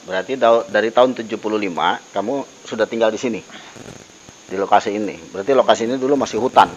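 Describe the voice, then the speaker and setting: A man's voice: on the low side, fast. A man, questioning someone in an unscripted paranormal investigation, repeating questions and echoing back answers. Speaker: host questioning a supposedly possessed person. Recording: noisy lo-fi field recording with insects.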